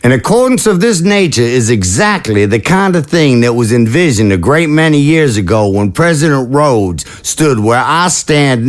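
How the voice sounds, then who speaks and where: A man playing a stately veteran president giving a deliberate, oratorical political speech in an audio drama. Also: medium pitch, close to an everyday voice.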